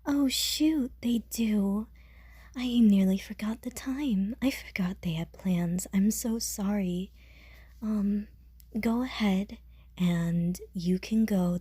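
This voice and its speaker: A woman playing an anxious, gentle, doting young woman who fusses with stammering concern in an audio roleplay. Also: medium pitch, breathy edge, no echo. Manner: fretful, stammering, rapid questions.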